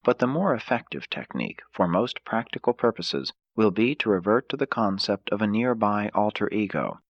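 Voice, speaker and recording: A man reading a scripted audiobook in a formal, measured way. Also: lowish voice, expressive, no echo, lo-fi sound. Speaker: audiobook narrator.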